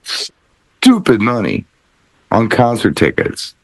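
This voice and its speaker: A man's voice: lowish voice, wildly swooping pitch, slow, gravelly, no echo. A middle-aged American man in casual panel chat, blunt and slangy, repeating phrases for emphasis. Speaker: DJ and podcast panelist.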